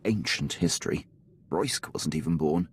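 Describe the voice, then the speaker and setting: An actor playing a dutiful, formal military officer in a video game, deferential and precise, with clipped phrasing. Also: male voice, a bass voice, a little slow, husky, no room sound, faint music behind, heavily acted. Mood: dry, weary. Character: dutiful, formal male imperial military officer, loyal subordinate. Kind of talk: video game character acting.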